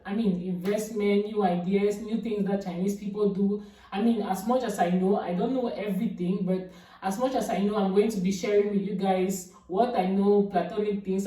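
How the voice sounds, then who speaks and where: Young woman, vlogger, mellow big voice, rambling chatty delivery, recorded far from the mic in a very echoey room. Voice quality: big, deep-sounding voice. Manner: chatty, repeats herself, fillers like "I mean". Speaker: YouTuber. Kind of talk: casual get-to-know-me vlog.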